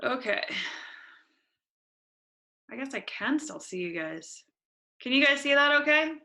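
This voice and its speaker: A woman hosting an online workshop over a video call, chatty and relaxed with casual fillers. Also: sits high, unhurried. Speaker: workshop host.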